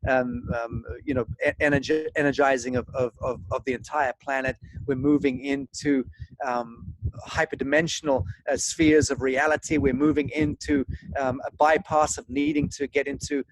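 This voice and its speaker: A middle-aged man from Zimbabwe speaks as an interview guest, earnest and halting, with grandiose wording. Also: medium pitch, steady tone. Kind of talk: spiritual monologue in a video interview. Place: large open room with thatched roof. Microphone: video call, device at a short distance. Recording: noisy video call audio with clicks.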